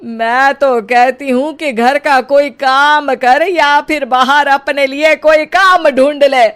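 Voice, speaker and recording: A woman voicing a vain, scolding middle-aged mother-in-law in a comic cartoon story, with emphatic, drawn-out delivery. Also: high voice, steady tone, fairly bright tone. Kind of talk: comic Hindi moral-story cartoon acting.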